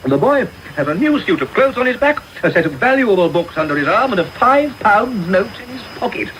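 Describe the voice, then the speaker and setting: Radio play: blustering elderly English gentleman, suspicious, emphatic, highly animated, slight hiss behind. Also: fairly quick. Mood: scornful, blustering. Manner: cantankerous, emphatic, repeats himself. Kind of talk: radio drama acting. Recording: faintly noisy radio taping.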